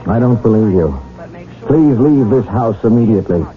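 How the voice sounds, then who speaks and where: An actor playing a haunted young English husband in a radio drama, speaking in a grave, dramatic way. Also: male voice, lowish voice, animated, normal speed, close to an everyday voice. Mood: stern confronting. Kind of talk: radio drama acting and first-person narration. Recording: tinny old radio broadcast with loud music.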